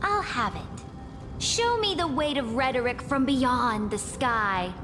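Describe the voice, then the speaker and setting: A woman's voice: sits high, lively intonation, takes it slowly. A woman playing a proud, ruthless conqueror emperor in a video game, speaking in grand, commanding, theatrical declarations. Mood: mocking challenge. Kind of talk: dramatic video game voice acting. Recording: long reverberant hall. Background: clear music.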